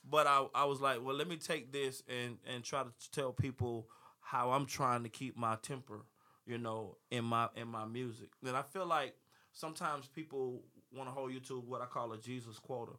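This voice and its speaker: A man's voice: mid-range voice, steady tone, normal speed, bright, forceful tone. A man in his thirties or forties, a gospel and R&B artist, talking in an interview with many restarts and easy laughter. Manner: rambling, repeats words, laughs easily. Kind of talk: panel interview conversation.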